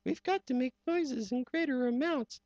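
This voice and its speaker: A tiny mayor cries out urgent orders in a high, squeaky voice, as a man puts him on. It sounds like a storybook character. The recording is dry, dull and lo-fi. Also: moderate pace, a little mellow, dry recording. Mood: rallying. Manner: urgent, rallying. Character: frantic small town mayor.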